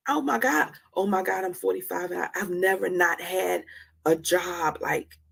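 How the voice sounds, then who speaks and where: Woman in her 40s, lowish really gravelly voice, heartfelt testimony style, repeats stuff to drive it home. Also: normal speed, a bit bright. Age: forties. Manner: emphatic, repeats phrases for effect. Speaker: host of a live talk on anxiety. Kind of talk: candid motivational testimony.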